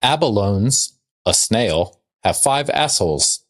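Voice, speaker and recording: Low-voiced man bantering on a podcast. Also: big pitch swings, slow. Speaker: podcast co-host. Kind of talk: casual comedic chat, reading out trivia.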